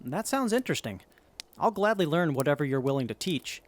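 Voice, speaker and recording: A man's voice: a little high, big pitch swings, fairly quick. A man playing an earnest, unsure wasteland survivor in an audio drama, speaking politely. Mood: earnest, self-doubting. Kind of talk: post-apocalyptic audio drama acting.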